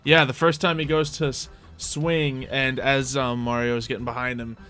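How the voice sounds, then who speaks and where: A man's voice: medium pitch, level tone, moderate pace, sharp tone. A man acting as game master, casually improvising narration and rulings for a tabletop roleplaying game.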